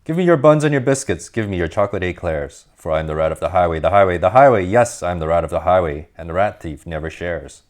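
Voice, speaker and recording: A man voicing a greedy, swaggering highway rat robber who bellows boastful demands in a storybook reading. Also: expressive, fast talker, few pauses.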